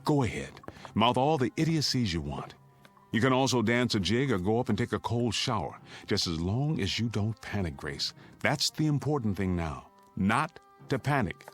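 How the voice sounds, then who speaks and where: An urgent American family man in a radio drama, with music underneath. His low voice moves widely in pitch as he takes charge, giving orders and steadying his household. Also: very animated, moderate pace. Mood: steadying, tense. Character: urgent, steadying father and husband taking charge.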